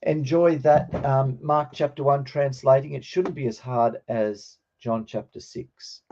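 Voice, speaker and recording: A middle-aged man with a slightly croaky voice, teaching an informal online lesson in a hesitant, explanatory way. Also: medium pitch, fairly even intonation, unhurried, darkish tone. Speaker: teacher of New Testament Greek. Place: online video call with screen share. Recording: dull, lo-fi online call.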